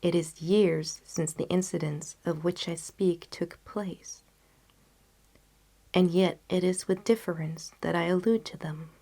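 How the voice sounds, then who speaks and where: A woman reading a story aloud as an audiobook narrator, in a measured, literary delivery. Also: fairly low pitch, fairly even intonation, vocal fry, dark tone.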